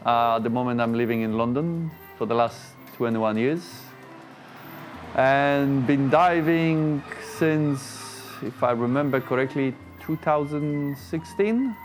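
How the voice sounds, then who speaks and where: A man's voice: medium pitch, takes it slowly, faint music behind. Polish man, non-native English. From Poland. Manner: brief, non-native phrasing. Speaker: diver. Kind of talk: interview answers.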